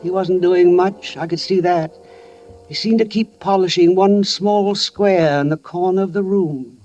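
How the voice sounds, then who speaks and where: An actress playing a kindly, wary older housewife and mother in a 1940s radio suspense drama, speaking gently and carefully. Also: on the low side, measured pace, faint music behind, close to an everyday voice. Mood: uneasy narration. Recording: muffled old radio broadcast recording.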